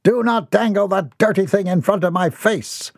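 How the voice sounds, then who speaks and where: A high-pitched eccentric dreamer rants in lofty, flowery phrases, as a man puts him on. His voice climbs well above a normal speaking range and lands dry and close, with no room around it. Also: normal speed, no echo. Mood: petulant. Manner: grandiose, flowery ranting. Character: eccentric rambling nephew lost in sea fantasies.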